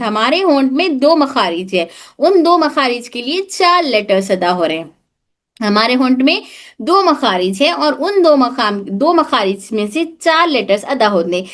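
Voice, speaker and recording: A woman with a high-pitched voice teaches a live religious recitation lesson. She explains step by step, asking questions and repeating the answers to drill them in. English words are mixed into her explanations. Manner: repetitive, drilling key points with questions; mixes English words into Hindi speech.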